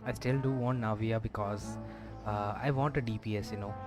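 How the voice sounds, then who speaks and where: A man giving casual gameplay commentary in a hesitant, halting way. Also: on the low side, steady tone. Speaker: game streamer. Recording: game music behind the voice.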